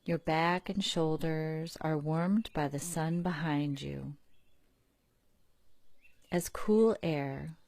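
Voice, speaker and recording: A woman narrates a guided relaxation in a low voice. She speaks very slowly and calmly, leaving long pauses between phrases. The sound is dry, with no room echo. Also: vocal fry. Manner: calm, unhurried, soothing, with long pauses. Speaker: guided meditation leader.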